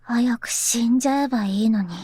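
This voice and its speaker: A woman playing a soft-spoken girl in a Japanese visual novel, with cute, measured delivery. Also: fairly high pitch, slightly rough, no echo. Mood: cold. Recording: very dry close studio recording.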